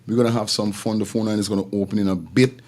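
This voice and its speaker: Low-voiced, mellow Caribbean male host. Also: level tone, fast talker. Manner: informal, repetitive, slangy patter. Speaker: live show host. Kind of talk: casual live-stream talk with shout-outs.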